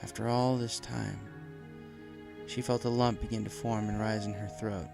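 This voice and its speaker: A man's voice: lowish voice, little pitch movement, normal speed, gravelly. A man reading a story aloud as dramatic scripted narration. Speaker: story reader. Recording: music playing behind the voice. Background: music.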